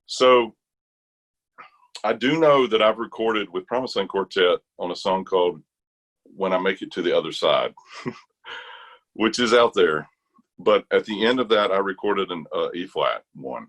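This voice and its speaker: A man's voice: low voice, expressive, a little slow, long pauses, a bit bright. An American man chatting casually as an interview guest, thinking aloud in loose, broken phrases. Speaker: interview guest, bass gospel quartet singer.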